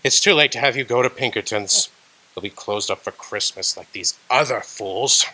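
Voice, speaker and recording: A man's voice: mid-range voice, normal speed, bright, forceful tone, low-quality recording. An actor playing a stingy, cold old male miser in a radio drama, curt and scornful, barking orders. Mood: grudging. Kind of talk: stage radio drama acting.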